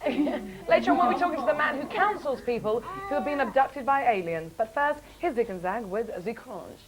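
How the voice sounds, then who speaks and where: A woman's voice: a little high, expressive, brisk, over a noisy crowd, low-quality recording. A woman hosting live breakfast television, teasing her guests and laughing often. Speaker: TV host.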